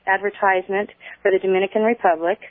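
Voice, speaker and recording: A woman in her forties calling a radio show, arguing in a halting but insistent way over the telephone. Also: mid-range voice, steady tone, no echo. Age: 40s. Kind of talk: argumentative call-in conversation. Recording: tinny narrow phone line.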